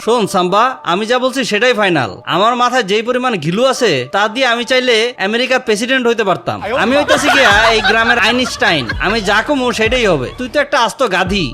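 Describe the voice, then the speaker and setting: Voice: mid-range voice, quick pace, hardly any pauses, over music, lightly acted. A performer voicing a boastful, stingy, quarrelsome village uncle in a cartoon, pompous, with a Bangladeshi accent. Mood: boastful, scornful. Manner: pompous bragging. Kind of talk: Bengali cartoon voice-over.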